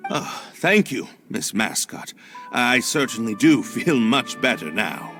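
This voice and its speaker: Face-saving young nobleman, formal, halting, slow delivery, lively swooping pitch, animated game. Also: soft background music, exaggerated voice. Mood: relieved.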